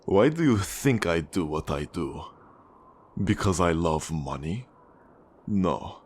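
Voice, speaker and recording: An actor playing a cold, menacing Russian mafia boss in an ASMR roleplay, with a Russian accent and a deliberate, condescending manner. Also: male voice, low voice, expressive, husky, exaggerated voice. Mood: intense, controlling. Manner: slow, deliberate, controlling, condescending. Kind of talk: ASMR roleplay monologue addressed to the listener.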